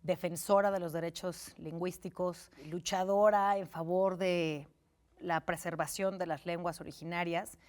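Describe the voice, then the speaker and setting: A woman from Mexico hosting a cultural TV program, interviewing her guest on a studio set with admiring, slightly halting questions. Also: on the low side, steady tone. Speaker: TV show host.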